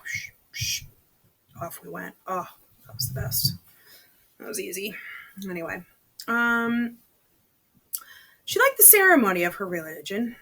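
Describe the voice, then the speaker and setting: A woman's voice: medium pitch, very animated, a little slow, vocal fry, pauses often, sharp tone, low-quality recording. A woman reading a novel aloud as a storytelling host, chatty, dropping into casual muttered asides. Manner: chatty, breaks off to comment in undertones.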